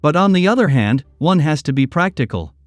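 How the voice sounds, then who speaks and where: A man narrates read-aloud stories in a steady, even manner. His voice sits a bit high for a man. The recording is close and dry, with soft music playing underneath. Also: a little fast, dry recording. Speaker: narrator reading online posts.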